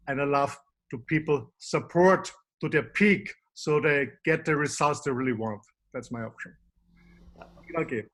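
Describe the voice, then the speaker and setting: A man from Austria with an Austrian German accent, presenting in a webinar as a business coach, calm and suggestive. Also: on the high side, rough recording.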